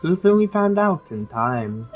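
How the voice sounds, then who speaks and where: A man's voice: fairly high pitch, big pitch swings, unhurried, highs cut off, music in the background. A man giving casual, rambling commentary as he plays through a story-driven video game, with informal asides. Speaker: gamer reacting to a game story.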